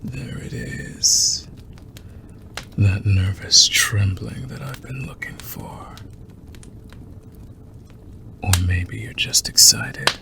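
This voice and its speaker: A man playing a possessive, seductive and menacing werewolf lover in an intimate ASMR roleplay, teasing in a breathy, gravelly murmur. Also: fairly low pitch, very slow, long pauses, bright, forceful tone, exaggerated voice. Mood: teasing, predatory.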